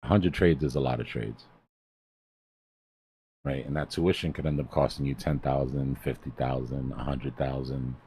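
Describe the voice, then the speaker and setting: A man with a very deep, raspy voice hosts a live stream. His tone is dark and relaxed, and he speaks bluntly and emphatically, like a mentor chatting casually. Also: moderate pace. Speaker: trading educator hosting a live stream.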